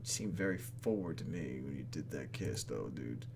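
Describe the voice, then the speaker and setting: A man's voice: a bass voice, lively intonation, moderate pace, gravelly, darkish tone, a little hiss or hum, voice pushed down, full character voice. A man reading the part of a troubled, guarded young woman in a visual novel game, hesitant and conversational. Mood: wry, flustered.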